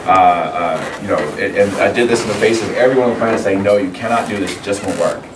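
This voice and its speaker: A middle-aged man with a cold and a hoarse voice, giving a rambling, conversational university lecture with many restarts. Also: lowish voice, quick pace. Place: large lecture hall. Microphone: far room mic. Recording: echoey lecture hall from far off, noisy.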